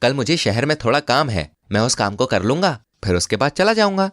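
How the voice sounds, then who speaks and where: A man playing an easygoing but stubborn visiting brother in an animated comedy story. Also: mid-range voice, fairly quick, no room sound, clean studio sound, voice pushed up. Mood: unbothered.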